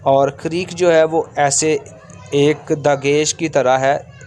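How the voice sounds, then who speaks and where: A man's voice: a little high, fairly even intonation, a little mellow, a little hiss or hum. A man giving an instructional language lesson, explaining step by step, repeating himself and sounding out vowels at length. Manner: repetitive, step-by-step explaining with drawn-out sample sounds. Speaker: language teacher.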